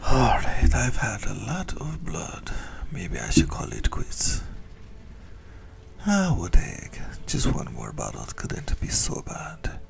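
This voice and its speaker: Casting: male actor as obsessive yandere vampire for ASMR roleplay; low, very gravelly, breathy whispering, adoring and gleefully indulgent. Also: huge pitch swings, background noise, full character voice. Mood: indulgent, picky. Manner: theatrical, adoring, drawn-out relish.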